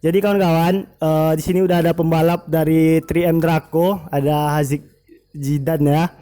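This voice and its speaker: A man's voice: fairly high pitch, level tone, moderate pace, mellow. A male motovlog host interviewing a racing team, chatty and full of fillers, often turning to speak to his viewers. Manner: chatty, frequent fillers, keeps addressing viewers as friends. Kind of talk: casual interview, addressing viewers.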